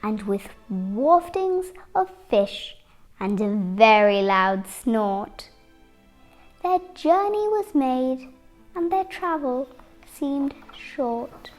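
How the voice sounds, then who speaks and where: Young girl, about eight, for picture-book narration: high voice, very unhurried, dramatic phrasing, faint music underneath. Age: 8-year-old child. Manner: expressive, dramatic phrasing with deliberate pauses. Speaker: young storybook reader. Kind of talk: children's picture-book read-aloud.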